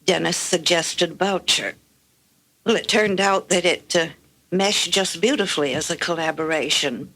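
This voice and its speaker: A woman's voice: fairly low pitch, measured pace, fairly bright tone, rough recording. An older woman, a guest speaker, giving a prepared personal reminiscence.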